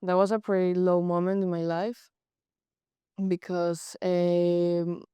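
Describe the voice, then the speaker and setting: A young woman from Colombia with a Colombian Spanish accent, a native Spanish speaker speaking halting English with frequent restarts in a casual interview. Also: on the low side, monotone, clean tone, no room sound, muffled recording. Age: young adult. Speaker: guest, college goalkeeper.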